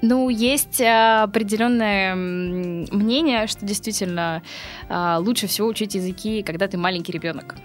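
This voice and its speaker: A woman who teaches English, answering interview questions in a relaxed, chatty way, laughing often and filling pauses with hesitation sounds. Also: medium pitch, faint music behind. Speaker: English teacher and school co-owner.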